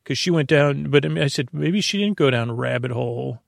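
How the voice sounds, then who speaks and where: A man with a creaky, gravelly voice at a middle pitch, recorded close and dry. He talks like a sleep-podcast host, gently lulling. His sentences wander, hesitate and drift into tangents. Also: fairly quick, dry recording. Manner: meandering, hesitant, often trailing into tangents. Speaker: host of a bedtime-story podcast. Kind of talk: rambling sleep-podcast monologue.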